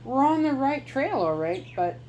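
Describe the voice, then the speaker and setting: A man's voice: very high voice, very animated, moderate pace, a little mellow, a little hiss or hum, pitched above the performer's own voice. An eager young adventurer, curious and keen, as a woman storyteller reads him. He is voiced close to her own voice.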